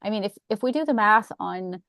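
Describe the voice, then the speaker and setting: A woman's voice: medium pitch, lively intonation, a little fast, fairly bright tone, dry recording, clean studio sound. A woman on a live call eagerly explaining a membership offer, with some hesitations. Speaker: team member presenting.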